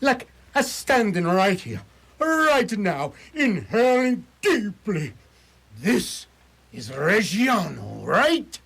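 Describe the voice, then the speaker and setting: A man's voice: sits high, sing-song, creaky, fairly bright tone, breathy edge, exaggerated voice. A man playing a doting elderly Italian father in a radio play, savouring and drawing out his words. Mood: savouring, delighted. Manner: savouring, drawn-out delivery. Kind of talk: community radio theatre acting.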